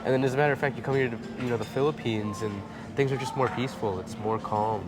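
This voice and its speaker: A man's voice: mid-range voice, fairly even intonation, quick pace. A man in his twenties with an American accent, a guest explaining things in casual chat and repeating phrases for emphasis. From Chicago suburbs, United States. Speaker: interview guest, expat in the Philippines. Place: large bright indoor lounge with tall windows. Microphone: clip-on lapel mic. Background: music in the background.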